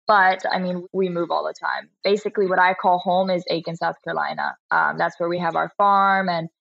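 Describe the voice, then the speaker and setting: An 18-year-old woman from Florida answering interview questions, soft-spoken and brief, with frequent small laughs. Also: fairly low pitch, fairly even intonation, brisk, creaky, dry recording, highs cut off. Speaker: guest, polo player.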